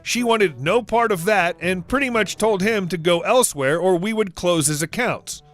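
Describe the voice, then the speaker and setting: A man giving a scripted narration of online stories as the channel's narrator, reading in an even, steady way. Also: sits high, normal speed, soft background music. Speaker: story narrator.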